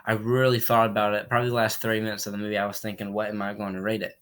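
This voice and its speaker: A man talks through a movie review in a loose, rambling way, circling back on his own points. His voice sounds a little off from a recent cold, and he keeps clearing his throat. He sits a touch low in pitch. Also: level tone, racing, a bit bright. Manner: rambling, repeats himself, frequent throat clearing. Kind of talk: casual spoken film review.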